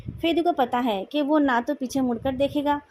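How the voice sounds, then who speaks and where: A woman's voice: high voice, normal speed, darkish tone, a little hiss or hum. A woman with an Indian accent reading out scripted narration as the storyteller of a novel. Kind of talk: scripted narration of a novel, retold with acted dialogue.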